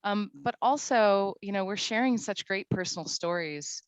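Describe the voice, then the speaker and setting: A woman's voice: medium pitch, lively intonation, normal speed, clear, resonant tone, dry recording. A woman in her forties from Morocco, speaking on a discussion panel in a chatty way, with frequent laughs and fillers. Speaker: panelist, CISO.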